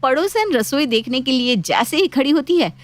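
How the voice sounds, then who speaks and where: A woman's voice: high voice, expressive, normal speed. A woman reading scripted story narration as a storyteller.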